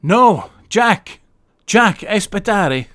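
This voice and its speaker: A man's voice: high-pitched, animated, extremely slow, a bit bright, full character voice. A man playing an earnest, hopeful young Italian emigrant in an audio drama, speaking broken English with Italian words. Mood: alarmed.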